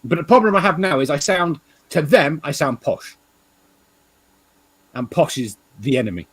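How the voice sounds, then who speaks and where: English man for casual talk show: husky voice, halting rambling delivery, sweary, close dry sound. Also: fairly high pitch, sing-song, no echo. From England.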